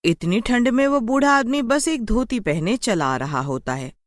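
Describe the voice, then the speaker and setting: A woman's voice: a little high, lively intonation, normal speed, dry recording. A woman narrating a scripted horror story as a storyteller.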